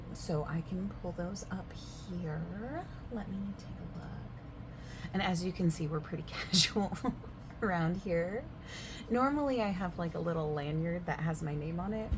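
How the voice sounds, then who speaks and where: A woman in a soft-spoken roleplay as a gym receptionist, overexplaining the options in a chatty way and sometimes dropping to a whisper. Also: lowish voice, noisy background. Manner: chatty, overexplaining, with filler words; drifts between soft speech and whispers.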